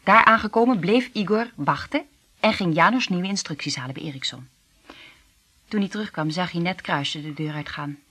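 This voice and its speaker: An actress in a Dutch radio play, playing an earnest, conflicted secret agent princess with a husky voice. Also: female voice, on the low side, moderate pace, fairly bright tone. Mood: grave, recounting.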